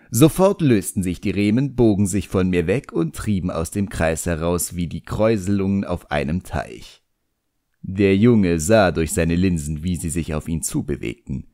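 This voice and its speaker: A man narrating an audiobook in the first person, expressive, wry and theatrical. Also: sits low, no room sound, studio quality.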